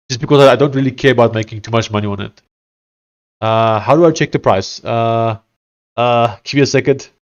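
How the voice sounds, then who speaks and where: A South African man with a thick Afrikaans accent talks casually to his live stream viewers while coding. He thinks aloud, stumbling through fillers and restarting sentences. His voice sits at an ordinary middle pitch. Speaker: live-streaming software developer.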